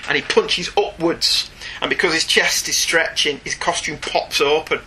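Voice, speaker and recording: A man's voice: fairly high pitch, normal speed, a little hiss or hum. A man with a Northern English accent hosting a casual comics podcast, reading listener emails and joking in asides. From northern England. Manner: jokey, animated, digressing into asides. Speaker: podcast host.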